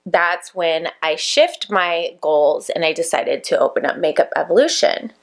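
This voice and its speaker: Low, gravelly-voiced woman chatting. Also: measured pace. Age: 30s. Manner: chatty, reflective, full of "like" fillers. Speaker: vlogger and makeup business owner. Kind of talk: casual chat to camera while doing makeup.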